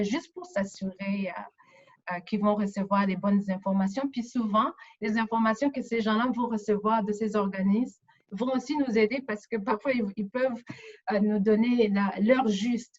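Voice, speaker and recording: A woman's voice: mid-range voice, steady tone, normal speed, muffled recording. A woman moderating a community discussion, speaking spontaneously and hesitantly, often repeating words as she explains.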